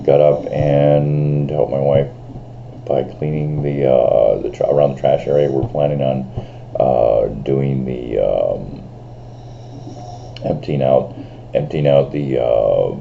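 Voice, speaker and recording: A man in his fifties talks straight to his camera in a loose, rambling vlog, stumbling over fillers and restarting his sentences. His voice is extremely deep and gravelly, with a big, loud delivery. The recording is dull and lo-fi, with faint noise behind him. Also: slow. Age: 50s. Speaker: vlogger. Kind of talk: rambling casual vlog monologue. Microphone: camera on a screen in front of him.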